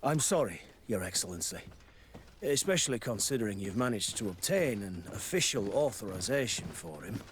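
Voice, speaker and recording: An actor playing a dutiful, courteous commander of city guards in a video game, formal and deferential. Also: male voice, fairly low pitch, sing-song, normal speed, slight background noise. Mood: apologetic. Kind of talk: video game cutscene acting.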